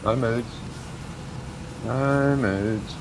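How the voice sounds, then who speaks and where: A man's voice: mid-range voice, very slow, noisy background. A man chatting casually during multiplayer gameplay, making dry, teasing remarks to his co-player. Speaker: co-player on the stream.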